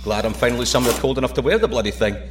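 An actor playing a joking British astronaut, bantering in a sci-fi audio drama. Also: male voice, mid-range voice, racing, background noise, natural voice. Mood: dry, joking. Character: wisecracking, nervy male British astronaut.

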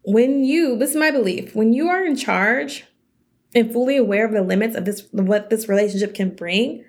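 A young woman hosting her own podcast as a self-worth coach, talking casually and chattily, her voice a little scratchy. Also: moderate pace. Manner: chatty, animated, quotes others in higher voices. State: slightly scratchy voice. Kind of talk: casual solo podcast talk.

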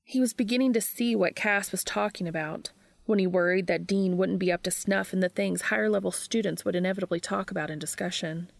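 A woman's voice: medium pitch, fairly quick, gravelly, no echo. A woman reading a scripted story aloud as an audiobook-style narrator. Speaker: fan fiction narrator.

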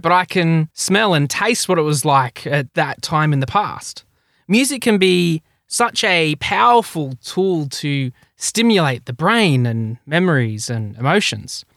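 A man's voice: high voice, a bit bright, no echo, clean studio sound. A man hosting a health technology podcast, upbeat and chatty as he introduces his guest. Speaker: podcast host. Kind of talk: podcast interview.

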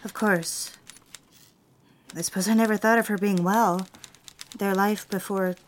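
A woman acts a young secretary from a period office drama. She is earnest and polite, with a measured delivery at an ordinary pitch. She sounds troubled but holds her ground. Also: fairly even intonation, slight background noise, natural voice. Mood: probing, subdued.

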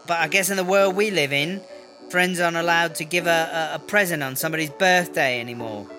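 A woman's voice: on the low side, a little fast, music in the background, natural voice. A woman playing a cocky, defensive, foul-mouthed British inmate in a comedy audio drama, with a slangy, blustering, rambling delivery. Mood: indignant.

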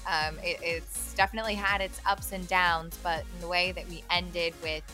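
A woman's voice: lowish voice, flat delivery, moderate pace, bright, forceful tone, soft background music. A young adult woman hosting a casual podcast interview, tired but chatty and upbeat, with frequent fillers. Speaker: podcast host.

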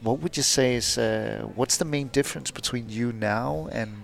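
A man's voice: mid-range voice, normal speed, vocal fry. A man interviewing a musician, asking long, friendly, rambling questions with many fillers. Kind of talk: casual interview.

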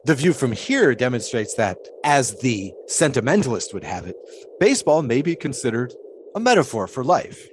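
A man's voice: medium pitch, big pitch swings, moderate pace, slight background noise, close to an everyday voice. An actor playing a grandiloquent, philosophical 1920s showman in an audio drama, musing in wordy, theatrical speeches. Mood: wistful, musing.

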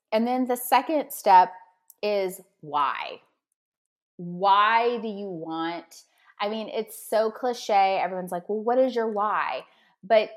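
A woman appearing as a guest coach on a podcast, giving energetic, motivational advice in clear numbered steps. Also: mid-range voice.

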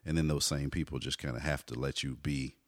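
Man, 40s, very deep voice, laid-back storyteller, dry close recording. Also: fairly even intonation, brisk, dry recording. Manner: laid-back storyteller, frequent "you know". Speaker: guest hip hop artist. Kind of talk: casual conversational interview.

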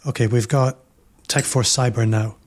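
A man with an ordinary mid-pitched voice hosts a podcast interview. He speaks in a measured, thoughtful way, stacking up his points one after another. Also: steady tone, slight background noise. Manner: reflective, measured, builds points with repetition. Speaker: podcast host.

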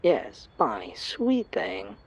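A woman's voice: medium pitch, wildly swooping pitch, slow pace, creaky, exaggerated voice. Proud, chatty mother, played by a woman, middle-aged, trusting, hesitant and rambling; audio drama, slightly dull sound. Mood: fond, rambling.

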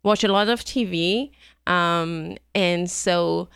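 A middle-aged woman from Iran talks through her memories with frequent ums and easy laughter. Her voice sits on the low side with a gravelly edge, yet stays smooth and clear. The recording is close and dry. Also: slow pace, fairly bright tone, dry recording. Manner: hesitant, many ums, laughs easily. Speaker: guest. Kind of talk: conversational interview.

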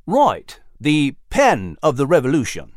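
Storybook gentleman mouse: British accent, erudite, pompous, raised pitch, lively inflection. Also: measured pace, no echo, clean studio sound. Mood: pleased, erudite. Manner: erudite, pompous, florid diction. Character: scholarly bespectacled male British mouse, dapper, cheery.

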